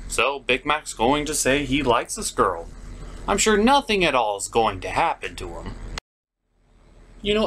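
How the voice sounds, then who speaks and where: Animated, theatrical man reviewing a cartoon. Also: mid-range voice, slight background noise. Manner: theatrical, sarcastic. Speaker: host of a cartoon episode review. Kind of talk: jokey scripted commentary recap.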